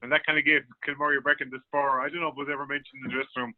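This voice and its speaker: A man's voice: medium pitch, little pitch movement, racing. An Irish man from the Clare area discusses sport as a journalist on a radio panel. His voice comes through thin and phone-like, with a conversational, colloquial way of talking. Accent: Irish, County Clare. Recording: phone-like, narrow-band sound.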